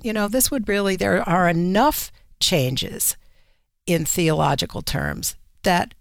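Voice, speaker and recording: A woman speaking as an editor and radio guest, explaining things conversationally in deliberate, measured phrases. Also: fairly low pitch, big pitch swings, vocal fry, no room sound, clean studio sound.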